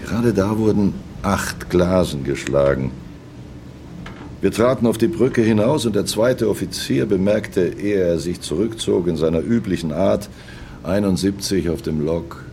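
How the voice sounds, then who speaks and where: A man's voice: sits low, a little slow, warm tone, slight background noise. A man playing a grizzled, resentful old ship's first officer recounting events grudgingly in a radio play. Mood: recounting, uneasy. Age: older. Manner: grudging, salty, mimicking his captain. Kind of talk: dramatic first-person recollection in a radio play.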